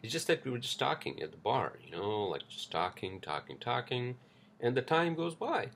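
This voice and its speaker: A man's voice: medium pitch, big pitch swings, moderate pace, creaky, a bit bright, a little hiss or hum. A man hosting a casual solo podcast, rambling loosely with lots of fillers, restarts and swearing, speaking English with an accent. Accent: non-native English accent. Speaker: podcast host.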